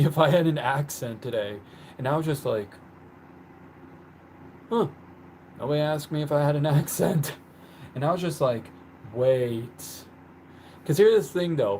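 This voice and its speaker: A man's voice: a little high, dark tone, a little hiss or hum. A man casually chatting on his livestream while he paints, rambling with lots of fillers. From born in the country where he lives. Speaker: livestream host. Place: indoors at home, painting on the floor.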